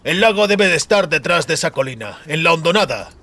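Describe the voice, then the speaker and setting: A man's voice: on the high side, expressive, brisk, bright, forceful tone, pitched above the performer's own voice. A man voicing a venomous, commanding villain colonel in an audiobook, drawling pompous archaic threats.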